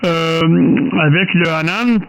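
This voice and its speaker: A man with a Quebec French accent explaining things casually on an amateur radio contact, halting and repeating himself as he searches for words. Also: fairly high pitch, a bit bright. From French-speaking Canada.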